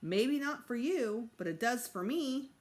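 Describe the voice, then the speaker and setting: Woman acting a storybook preteen boy, indignant, sulky, emphatic, very animated intonation. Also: on the high side, unhurried, pitched above the performer's own voice. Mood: angry, defiant. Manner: emphatic, exasperated complaining.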